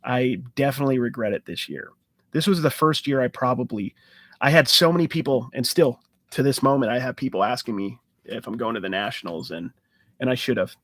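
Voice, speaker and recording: A man's voice: mid-range voice, brisk, no echo. A man hosting a casual live-stream Q&A, talking in a loose, rambling way with restarts and fillers. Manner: enthusiastic about card hunting. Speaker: sports card channel host.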